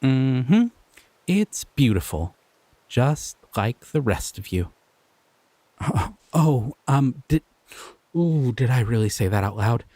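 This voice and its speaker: A man voicing a shy, gentle male slime creature in an audio roleplay, soft-spoken and hesitant, often trailing off. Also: medium pitch, big pitch swings, slow, long pauses, no echo. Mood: gentle, curious.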